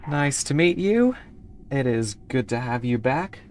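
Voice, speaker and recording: A woman's voice: a bass voice, lively intonation, unhurried, darkish tone, a little hiss or hum. A woman from the United States streaming a game and chatting casually with her viewers, easygoing and rambling as she answers chat between moves.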